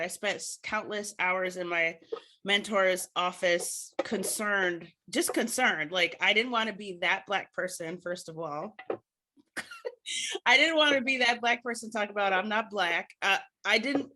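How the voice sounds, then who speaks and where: A woman's voice: medium pitch, a little slow, pushed and bright. An American woman speaking as a podcast guest, telling personal stories reflectively in an earnest, articulate way with proper diction. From United States. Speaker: podcast guest, literature scholar. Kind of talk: reflective personal storytelling in a conversational interview.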